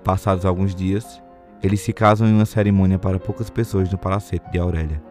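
Radio soap narrator: Brazilian man, deep voice, scripted storytelling over soft background music. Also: level tone, brisk.

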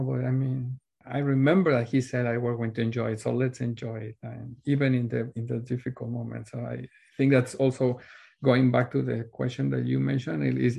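A Mexican man, a native Spanish speaker, talks as an interview guest with a noticeable creak in his mid-pitched voice. His phrasing is informal and loose, as in a casual conversation about student life. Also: dark tone, highs cut off. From Mexico City, Mexico.

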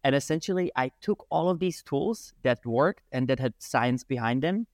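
Guy in his 30s, chatty guest, lots of uhs, dry sound, mid pitch. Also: moderate pace, no echo. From Czech Republic. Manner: animated, hesitant with many fillers. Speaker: guest, breathwork and movement teacher. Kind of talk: casual storytelling interview.

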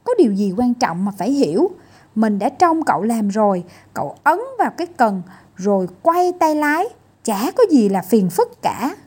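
Người phụ nữ vào vai chú tí hon ngổ ngáo, hấp tấp. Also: female voice, a little high, very animated, normal speed, darkish tone, breathy edge. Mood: cocky, dismissive. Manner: brash, dismissive, insistent. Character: cocky impatient little boy-like gnome eager to drive.

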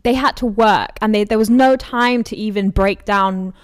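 A woman's voice: mid-range voice, moderate pace. A woman hosting an interview with a mid-range voice. She talks casually, dropping in fillers as she thinks aloud. The sound is close and dry, with no room echo. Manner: conversational, uses like and fillers.

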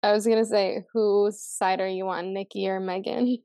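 Gravelly young woman, easy agreement. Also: mid-range voice, level tone, normal speed, mellow, dry recording, studio quality. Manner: supportive, agrees a lot with short replies and laughs. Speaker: podcast co-host. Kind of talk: casual chat.

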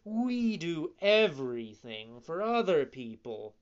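Storybook fox swindler, coaxing and slippery, done by the narrator, pitched up and unhurried. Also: sing-song, highs cut off. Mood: mock-offended. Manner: persuasive, coaxing, mock-offended. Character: sly smooth-talking fox swindler. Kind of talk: audiobook reading.

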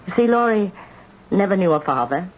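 A woman's voice: on the low side, expressive, a little fast. An actress playing a tough, proud older grandmother in an old-time radio drama, with dramatic, emphatic delivery. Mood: grave, confiding. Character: tough, proud widowed grandmother confessing family tragedy. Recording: muffled, noisy old radio recording.

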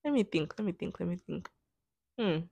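A woman's voice: fairly low pitch, expressive, fairly quick, vocal fry, dark tone, dry recording. A young woman with a Nigerian English accent, host of a casual solo podcast, answering questions in a playful, sarcastic way. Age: young adult. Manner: sarcastic, playful, laughs often.

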